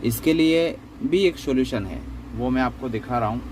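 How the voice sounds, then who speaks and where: A man with a medium-pitched voice talks through a hands-on repair tutorial. He is conversational and explanatory, circling back to repeat points. There is clear ambient noise behind him. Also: moderate pace. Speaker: phone repair tutorial host. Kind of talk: unscripted step-by-step repair demonstration.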